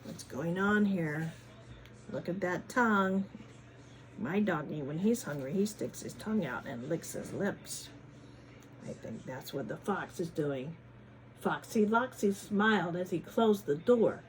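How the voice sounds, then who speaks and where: A woman reading a picture book aloud to children in a teacherly way, pausing to ask them questions and adding dramatic emphasis. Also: lowish voice, animated, slight background noise, rough recording. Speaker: children's story reader, former teacher. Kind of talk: animated picture-book read-aloud with chatty asides to children.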